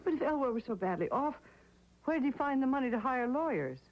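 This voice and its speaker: A woman's voice: high voice, very animated, fast. An actress playing an anxious widow questioning a man, her voice growing frantic, in an old-time radio drama. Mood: probing. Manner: probing, increasingly frantic. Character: anxious grieving wife, shaken by a ghostly visit. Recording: dull lo-fi old radio recording.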